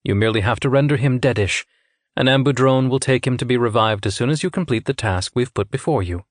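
A man voicing an unruffled senior male official in a narrated story, reasoning calmly with practiced patience. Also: medium pitch, quick pace, no echo, studio quality, lightly acted. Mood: patient.

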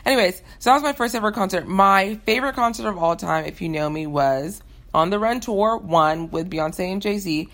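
A woman's voice: mid-range voice, moderate pace, fairly bright tone. A young American woman hosting a casual, upbeat question-and-answer show, chatting informally to listeners. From United States. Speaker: host of a Q&A show.

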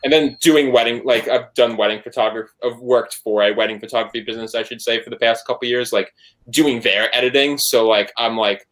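Dude rambling on a podcast, says like constantly, swears casually. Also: male voice, mid-range voice, fairly even intonation, a little fast, fairly bright tone, rough recording. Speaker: podcast guest, band member and photographer. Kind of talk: casual conversational interview.

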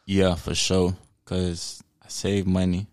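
A young man from Florida, a rapper guest on a podcast, talking in a raspy, mellow, laid-back slangy way. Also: low voice, slow pace, no echo, clean studio sound. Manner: relaxed, slangy, chill. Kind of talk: casual podcast interview.

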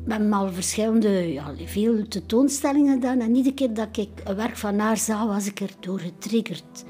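A woman's voice: mid-range voice, moderate pace, warm tone, faint music behind. A woman with a Flemish accent, an art collector, talking enthusiastically in a rambling way, full of fillers. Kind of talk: casual interview chat.

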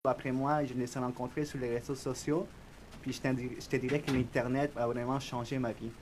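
A young man with a Spanish accent, a guest on a podcast interview, talking in a soft, reflective, shy way. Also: mid-range voice, little pitch movement, a little fast, slight background noise, rough recording. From Spanish-speaking country. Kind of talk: casual interview chat.

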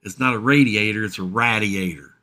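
Easygoing Kentucky man, bright tone. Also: lowish voice, a little fast. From South Central Kentucky. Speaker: co-host. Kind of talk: casual podcast chat with live chat.

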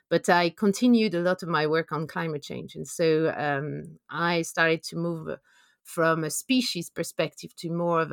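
A woman from France speaks with a clear French accent, her voice sitting a little low. She explains her work thoughtfully, pausing on ums and uhs. The recording is dry, with little room sound. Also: no room sound. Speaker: conservation biologist guest. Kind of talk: podcast interview.

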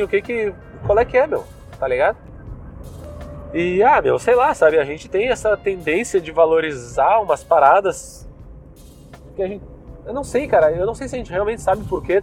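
Young gaúcho guy talking in his car, kinda high voice, slangy loose ramble, faint music behind. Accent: Gaúcho Brazilian Portuguese. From southern Brazil. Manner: loose slangy talk, many fillers. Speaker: podcast host. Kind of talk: casual rambling monologue. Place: inside a car.

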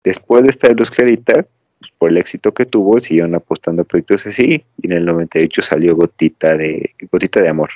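A man's voice: low-pitched, fast, a little mellow, no room sound. A Mexican man hosting a podcast, chatting casually and digressing as he tells stories. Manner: rambling, storytelling, digressive. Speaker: podcast host. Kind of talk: casual chat. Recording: dry, slightly dull lo-fi audio.

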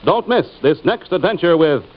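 A man's voice: a little high, lively intonation, moderate pace, very noisy. A man reading scripted closing credits as an announcer on an old-time radio show. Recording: dull vintage radio transcription.

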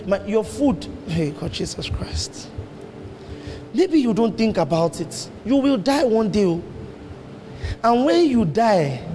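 A man preaches with emphatic force, often leaping into high exclamations. His voice sits high for a man. The hall gives it a long echo over faint background noise. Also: darkish tone. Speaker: pastor. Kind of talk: sermon. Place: church hall.

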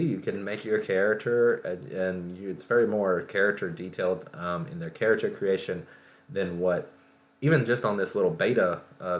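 A man hosting a podcast, talking casually about gaming news in a halting, rambling way, as if thinking aloud. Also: fairly low pitch. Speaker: podcast host. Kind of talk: casual solo show talk about video game news.

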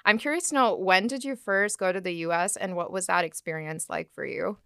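A woman's voice: on the low side, a little fast, gravelly, pushed and bright, clean tone, no room sound, studio quality. A young woman from Pakistan hosting a podcast, reading a scripted introduction and then asking questions casually, with some fillers. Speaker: podcast host.

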